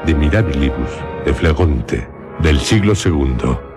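Radio drama host: man, extremely deep voice, literary delivery, music underneath. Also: expressive, a little slow. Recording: music behind the voice.

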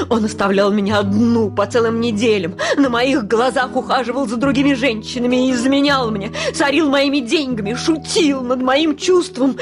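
Young widow, high voice, haughty, passionate, theatrical radio drama, music behind. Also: female voice. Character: indignant, passionate young widowed landowner woman. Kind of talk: Russian classic radio play acting.

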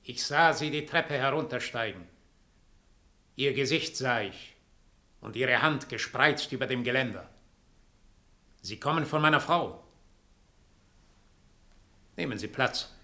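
An actor playing a calm, resigned man awaiting his own death. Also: medium pitch, unhurried, pauses often. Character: fatalistic male double, calm.

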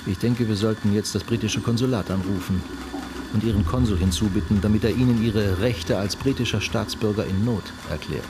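An actor playing a no-nonsense police detective in a radio crime drama, formal and pressing. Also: male voice, fairly low pitch, brisk, heavy background noise, lightly acted. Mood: interrogating.

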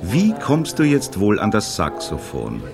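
An actor in a radio play playing a sly, pushy blackmailer with a mock-friendly, insinuating manner. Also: male voice, lowish voice, wildly swooping pitch, measured pace, gravelly, loud music behind the voice, heavily acted. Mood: smug, needling. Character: smug, sly, pushy blackmailing acquaintance, male. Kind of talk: German radio play acting.

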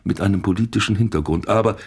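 Husky, puzzled police commissioner. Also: male voice, lowish voice, fast talker, darkish tone. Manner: muttering, thinking aloud with exclamations. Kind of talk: radio play acting.

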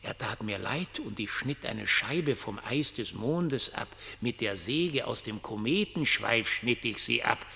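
An actor in a German radio play as a ragged homeless dreamer, mocking, prophetic and pitying, with theatrical, declamatory delivery. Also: male voice, medium pitch, expressive, measured pace, gravelly, hardly any pauses, sharp tone, breathy edge, heavily acted. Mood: dreamy, pitying. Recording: long reverberant hall.

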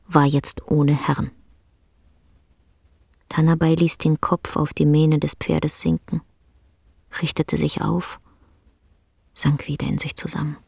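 Female narrator, husky, gravelly edge, flat delivery, sombre, restrained, dry studio sound, literary radio play. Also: low voice, dry recording.

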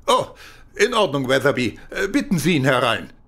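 Husky, expressive senior police chief. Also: male voice, mid-range voice, moderate pace. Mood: measured, thoughtful. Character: senior British police chief, measured, gentlemanly. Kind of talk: German radio play acting.

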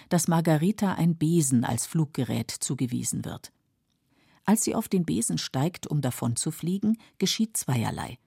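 A woman narrating a scripted literary radio feature in a measured, explanatory manner. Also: low-pitched, warm tone, slightly rough, dry recording, studio quality. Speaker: radio presenter explaining a novel.

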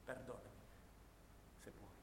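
A man's voice: measured pace, close to an everyday voice. An actor playing a young First World War soldier, anguished and tender, telling his war memories as an intense theatrical monologue. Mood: grieving, remorseful. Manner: intense, dramatic storytelling. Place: live radio theatre performance in a hall. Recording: echoey theatre hall, noisy distant recording.

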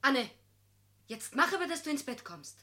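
A woman's voice: high voice, big pitch swings, heavy background noise. An actress playing an exasperated, scolding middle-aged mother in a German radio play. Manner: nagging, brisk commands. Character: exasperated, scolding mother of two grown children.

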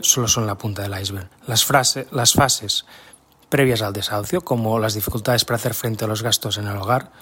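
A man with an accent from Spain reading a scripted statement in a formal, measured way. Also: medium pitch, fairly bright tone, husky. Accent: Spanish, from Spain. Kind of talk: scripted statement read aloud.

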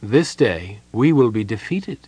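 A man voicing a self-assured, fanatical female war leader in an audiobook, cool, deliberate and solemn. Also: a little high, very animated, dry recording, pitched above the performer's own voice.

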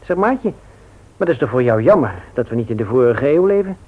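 A man's voice: mid-range voice, very animated, brisk. An actor playing a reluctant, sceptical single man with a dry, wry grumble in a Dutch radio play. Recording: tinny old radio recording.

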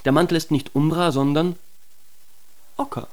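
An actor playing a pedantic secret police surveillance instructor in a radio drama, correcting like a schoolmaster. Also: male voice, on the high side, fairly even intonation, moderate pace, natural voice. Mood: fussy, correcting.

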